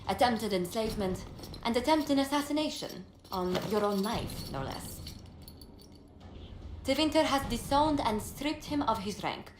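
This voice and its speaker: An actress playing a poised diplomatic advisor in a video game, speaking in a formal, measured, articulate way. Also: female voice, fairly high pitch. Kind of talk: video game character acting. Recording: roomy echo, faint noise.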